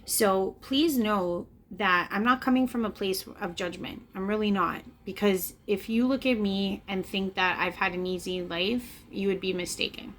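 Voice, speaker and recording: Woman, late thirties, earnest solo vlogger, mid-pitched voice, faint room hiss behind. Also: normal speed, few pauses, fairly bright tone. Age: late 30s. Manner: earnest, confessional, emphatic repetition. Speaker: spiritual vlogger. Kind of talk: personal reflective monologue to camera. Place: indoors, in front of a camera.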